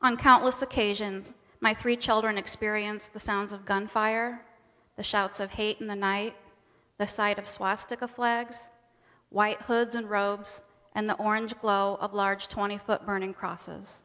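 A woman's voice: mid-range voice, flat delivery, measured pace, sharp tone. A woman delivering a rehearsed, dramatic testimony as part of a staged reading. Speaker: speaker in a readers' theater presentation. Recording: echoing hall with long reverb.

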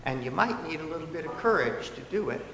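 A man's voice: on the high side, lively intonation, fast talker, a crowd in the background. A man speaking in a folksy, exhorting way as a folk singer introducing a song and coaching an audience to join in. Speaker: folk singer leading a singalong. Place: large indoor hall at a public convocation. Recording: echoey hall with music behind.

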